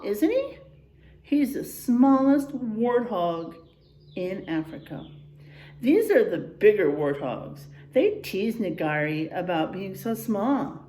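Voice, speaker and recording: A woman reading a picture book aloud to children in a teacherly, expressive way, checking in with her listeners as she goes. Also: on the high side, reverberant, slight background noise. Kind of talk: animated children's read-aloud with asides to listeners.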